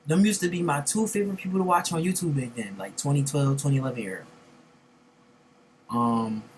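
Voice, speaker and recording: A man's voice: fairly high pitch, a little fast, a little hiss or hum. A young man giving casual, slangy, profane reaction commentary as host of a reaction video. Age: young adult.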